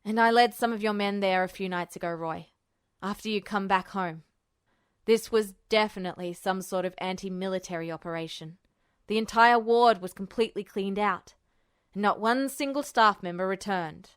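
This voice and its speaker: Woman voicing a sombre military man, clear and smooth. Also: mid-range voice, normal speed, no echo, studio quality, pitched below the performer's own voice, close to an everyday voice. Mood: regretful, earnest. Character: sombre apologetic military man, regretful and earnest. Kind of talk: acted audiobook dialogue.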